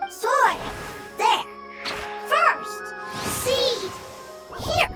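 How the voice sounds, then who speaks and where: Squeaky little creature, halting speech. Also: female voice, animated, exaggerated voice. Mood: earnest. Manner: halting, clipped simple phrases. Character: small earnest elemental creature with broken speech. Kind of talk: video game voice acting. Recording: loud game music behind.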